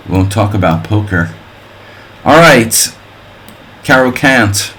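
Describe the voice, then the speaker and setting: A man's voice: fairly low pitch, expressive, extremely slow, bright, forceful tone, rough recording. A man streaming chess, calling out moves and thinking aloud between offhand jokes and wordplay for his viewers. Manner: wry and offhand, chatting with viewers. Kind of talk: live-stream commentary while playing a simul.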